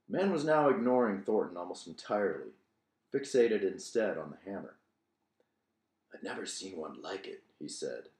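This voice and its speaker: A man's voice: deep voice, a little mellow. A man hosting as an author, reading his own novel and talking about it. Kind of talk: audiobook narration with casual commentary. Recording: roomy, echoing room sound.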